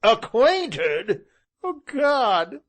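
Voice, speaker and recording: A man voicing a tall, jovial gentleman who gushes and laughs at his own joke in a high voice. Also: big pitch swings, drawn-out words, exaggerated voice. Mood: gleeful. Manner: mocking, laughing, gushing.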